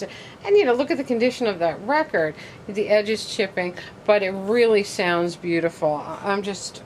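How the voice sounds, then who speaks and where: A woman giving a casual show-and-tell of her collection, excited and gushing, with halting delivery. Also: medium pitch, slight background noise. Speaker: record player collector, vlogger.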